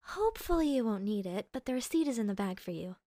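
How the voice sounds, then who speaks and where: Woman doing a perky polite cashier girl, high voice, chatty, dry close recording, roleplay audio. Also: big pitch swings, fast, warm tone, no echo. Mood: accommodating. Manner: courteous, accommodating, perky. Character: polite, chatty young female shop cashier. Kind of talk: roleplay audio acting, customer-service patter.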